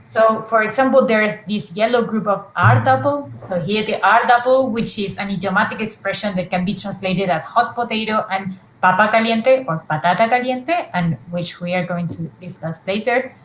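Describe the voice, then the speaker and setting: A woman's voice: medium pitch, normal speed, few pauses, echoey room, slight background noise, rough recording. A woman presenting research at an academic conference, explaining examples step by step with frequent fillers and restarts over a video call.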